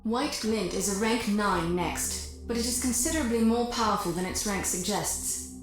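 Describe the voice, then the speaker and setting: An actress playing a formal, matter-of-fact military client in a video game, handing out an assignment. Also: female voice, medium pitch, moderate pace, natural voice. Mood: formal, serious. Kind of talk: scripted military mission briefing, video game voice acting. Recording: very echoey, music behind.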